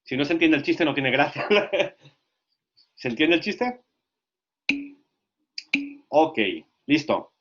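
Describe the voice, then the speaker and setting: A man giving a lecture to language teachers, lively and often checking that listeners follow. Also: a little high, takes it slowly, pauses often, muffled recording. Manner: lively, asks frequent check questions.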